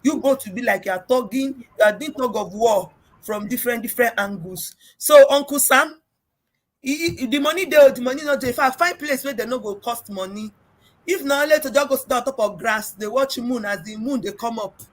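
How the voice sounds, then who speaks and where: A middle-aged woman with a Nigerian accent and a big, loud voice, hosting a casual relationship talk and telling stories emphatically. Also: fairly high pitch, fairly quick. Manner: emphatic, storytelling, mixes in pidgin. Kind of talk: casual group discussion.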